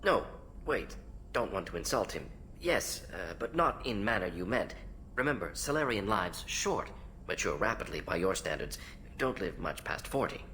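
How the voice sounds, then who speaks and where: Video game alien scientist: analytical, clipped, telegraphic delivery; forceful low voice, slight noise behind. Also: male voice, sing-song, moderate pace, heavily acted. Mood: earnest. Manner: clipped telegraphic phrases, clinical, omits pronouns. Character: fast-talking, analytical alien scientist, proud and ageing uncle. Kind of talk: video game dialogue acting.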